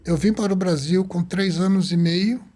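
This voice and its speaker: Older man in his seventies, high voice, lively storyteller, acts out dialogue. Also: normal speed. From Azores, Portugal. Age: 70s. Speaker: doctor guest. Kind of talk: storytelling interview.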